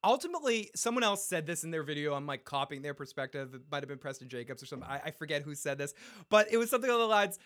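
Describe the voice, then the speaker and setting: Man, fairly high voice, podcast co-host, casual, swears, laughs a lot, reads listener messages. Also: very animated, rapid-fire, fairly bright tone. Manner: loose, profane, laughing. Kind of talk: casual fan podcast chat.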